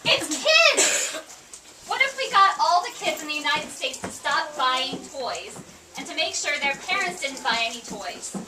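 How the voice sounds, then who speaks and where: A woman's voice: high voice, very animated, unhurried. A woman voicing a spirited, defiant little orphan girl in an amateur puppet show. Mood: scheming. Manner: spirited, rallying. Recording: roomy, distant, noisy live room.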